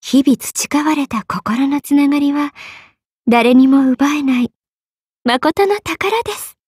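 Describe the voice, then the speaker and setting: A woman's voice: high voice, slow, a little mellow, no room sound, studio quality. An actress voicing a playful, money-minded half-spirit young queen in a Japanese mobile game, coy and polite with a regal side. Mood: tender, sincere. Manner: coy, polite, sweetly drawling, sometimes solemn. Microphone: close studio microphone.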